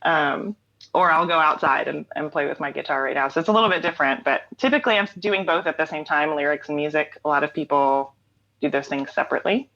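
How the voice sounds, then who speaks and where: A woman in her late thirties talks through her creative habits in a deep, low voice. She muses aloud with many "um"s and "like"s and laughs easily. The sound is a bit dull and lo-fi, like a remote call. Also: steady tone, fast, bright, forceful tone. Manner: thoughtful, frequent "um" and "like", laughs easily. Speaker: guest, singer-songwriter. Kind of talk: reflective conversational interview.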